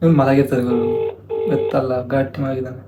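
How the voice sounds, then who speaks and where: Young man vlogging over clear background noise. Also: mid-range voice, level tone, fast talker. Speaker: prank vlog host. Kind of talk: casual vlog chat and prank calls.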